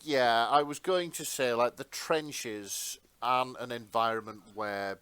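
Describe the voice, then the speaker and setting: A man's voice: medium pitch, unhurried, a bit bright, clear, resonant tone, dry recording. A man co-hosting a podcast, chatting casually with wry jokes. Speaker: podcast co-host. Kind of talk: casual comedic banter.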